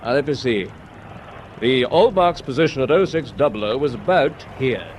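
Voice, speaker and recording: An actor playing a decisive British destroyer captain in a wartime radio drama, giving clipped, measured orders with a British accent. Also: male voice, medium pitch, animated, a little hiss or hum, close to an everyday voice. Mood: brisk, composed.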